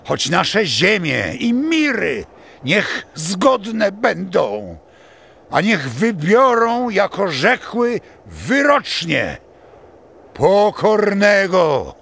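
An actor playing a solemn old pagan seer in an audio drama, creaky and husky, declaiming haltingly. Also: male voice, sits high, fairly bright tone, heavily acted.

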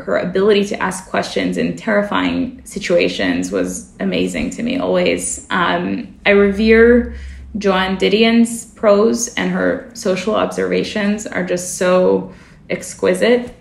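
A woman journalist whose first language is Russian speaks thoughtfully as an interview guest, pausing on fillers and correcting herself mid-sentence. Her voice has a gravelly edge, and the recording carries a roomy echo. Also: mid-range voice. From family from the former Soviet Union. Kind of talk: reflective interview conversation.

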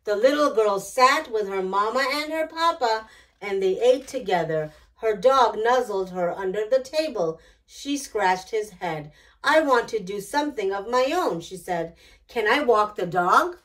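Slow-paced woman reading a children's story. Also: medium pitch, expressive, a bit bright, poor audio. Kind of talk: children's picture book read-aloud. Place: small decorated indoor storytime set.

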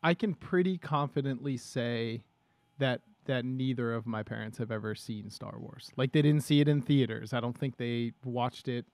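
A man in his thirties hosting a casual podcast at a desk mic, animated and enthusiastic, with casual swearing. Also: medium pitch, normal speed, no room sound. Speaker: podcast host. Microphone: studio microphone at a desk.

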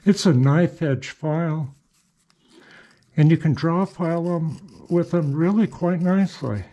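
A man's voice: fairly high pitch, unhurried, mellow, highs cut off. An older man talking casually and unhurriedly as he works, half to himself, in a folksy way. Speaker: hobby machinist showing shop work. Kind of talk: casual workshop narration while working. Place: small cluttered indoor workshop.

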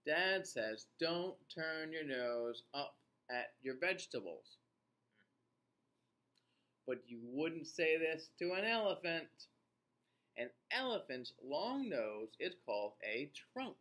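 A man in his forties hosts a read-aloud for young children. His voice sits a little high for a man. He speaks very slowly and deliberately, addressing his young viewers directly. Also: big pitch swings, a bit bright. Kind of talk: reading a children's nonfiction book aloud with asides to young viewers. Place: small indoor room.

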